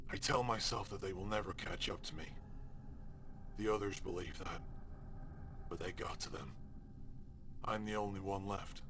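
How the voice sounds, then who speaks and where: An actor playing a resigned, self-loathing fugitive recording a journal in an audio drama, grave and deliberate. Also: male voice, low-pitched, background noise.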